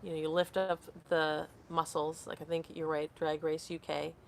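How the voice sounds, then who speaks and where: Woman, late thirties, lower pitch, live-stream host reading comments, casual and scattered. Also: little pitch movement, normal speed, creaky, slight background noise. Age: 30s. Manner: rambling, reads comments aloud and loses her train of thought. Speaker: skincare vlogger hosting a live stream.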